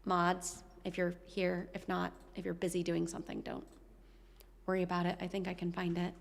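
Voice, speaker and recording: A woman's voice: lowish voice, completely flat delivery, normal speed, gravelly. A young woman streaming as a VTuber, chatting in a rambling, self-deprecating way between songs while playing guitar. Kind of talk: casual live-stream chat between songs. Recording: long reverb with loud guitar.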